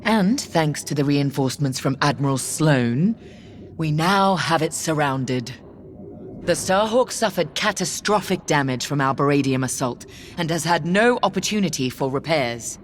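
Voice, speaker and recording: An actress playing a commanding Imperial squadron leader in a space game, clipped, controlled and imperious. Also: female voice, low voice, animated, normal speed, slight background noise. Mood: confident, menacing. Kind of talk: scripted military briefing in a space game. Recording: dramatic music under the voice.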